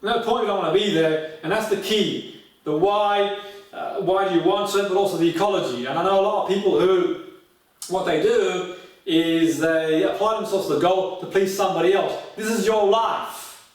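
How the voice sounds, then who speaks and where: High-voiced male motivational speaker, very echoey room. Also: moderate pace. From Australia. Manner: direct address with repeated catchphrases and rhetorical questions. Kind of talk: motivational goal-setting seminar talk. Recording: very echoey room, distant mic.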